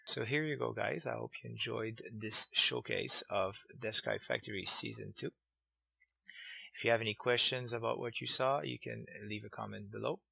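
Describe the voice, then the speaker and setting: A man's voice: medium pitch, moderate pace, creaky, sharp tone, slightly rough, dry recording. A man hosting a game development tutorial series, narrating casually in a voice-over. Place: close, dry voice-over over screen capture.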